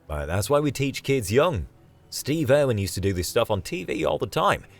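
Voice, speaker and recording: A man's voice: mid-range voice, big pitch swings, moderate pace, creaky, pitched above the performer's own voice. A man voicing a cheerful, fearless young man in an audio story, breezy and slangy. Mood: cheerful, insistent.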